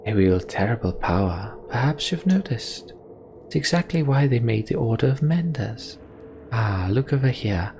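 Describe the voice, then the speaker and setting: A sly female healer, acted out by a man, coaxing and confiding in drawn-out phrases. The voice has a creaky grain and sits a little above the reader's usual, over some background noise. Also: medium pitch, lively intonation, a little mellow, natural voice. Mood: conspiratorial.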